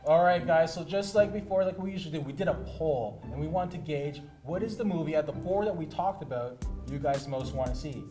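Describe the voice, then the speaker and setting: A man's voice: fairly high pitch, a little fast, few pauses. A man hosting a casual panel talk about pop culture, conversational and steering things along with questions. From Canada. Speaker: talk show host. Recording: music playing behind the voice. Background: background music.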